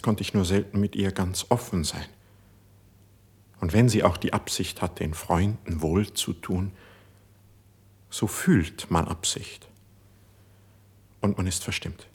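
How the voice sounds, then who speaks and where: A deep, faintly husky male voice plays an impassioned court poet. He declaims verse with lofty, measured melancholy, in the manner of a classic stage-style radio play. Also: heavily acted. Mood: wistful resentment.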